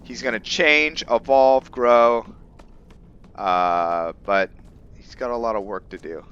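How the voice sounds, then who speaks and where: A man giving casual game commentary with roleplay lore, thinking aloud in a halting way. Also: mid-range voice, a bit bright, clear, resonant tone, highs cut off. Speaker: gamer hosting a roleplay let's play.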